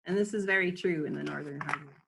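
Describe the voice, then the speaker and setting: A woman reading a children's book aloud at home in an unhurried way, explaining things in casual asides as she goes. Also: sits low, vocal fry, noisy background.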